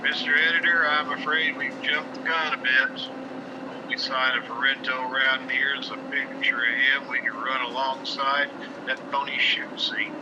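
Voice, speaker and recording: A man playing a wisecracking, excitable street reporter calling in an eyewitness account in a 1950s-style radio drama. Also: medium pitch, moderate pace, highs cut off, background noise, lightly acted. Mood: wry. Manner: exclamatory, running eyewitness report.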